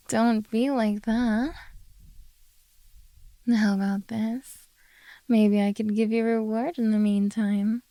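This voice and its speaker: A woman voicing a possessive, doting yet menacing beast-girl captor in a soft, coaxing ASMR roleplay. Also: mid-range voice, no room sound. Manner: slow, coaxing, quietly domineering.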